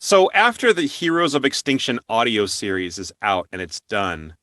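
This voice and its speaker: A man with a bright, forward voice hosts a casual interview. His pitch moves around a lot, giving an animated delivery, and the recording is dry and close. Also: normal speed, no echo. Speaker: interview show host.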